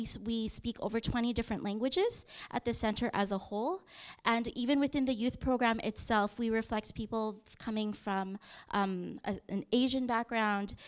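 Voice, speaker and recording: A woman in her thirties gives a conference presentation, pitched a bit higher than most women. She talks conversationally, often pausing with fillers and correcting herself mid-sentence. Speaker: youth program presenter. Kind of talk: conference presentation walking through slides.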